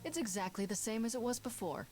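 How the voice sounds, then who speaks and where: An actress playing a blunt, defiant young heroine in a dramatic video game scene. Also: female voice, fairly high pitch, expressive, fast, darkish tone, faint music behind, lightly acted. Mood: confronting.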